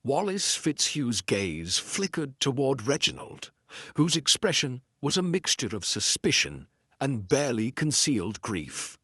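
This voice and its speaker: A man narrating a detective story in a measured, deliberate, scripted dramatic style. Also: mid-range voice, big pitch swings, pushed and bright, husky, no room sound, studio quality. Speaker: audiobook narrator.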